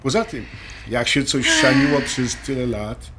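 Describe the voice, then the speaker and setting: A man's voice: mid-range voice, big pitch swings, a little slow, heavy background noise. A man playing a patient husband in an audio drama, speaking in a colloquial, conversational way. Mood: reassuring. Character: Krzysztof, patient, reassuring husband in couples therapy.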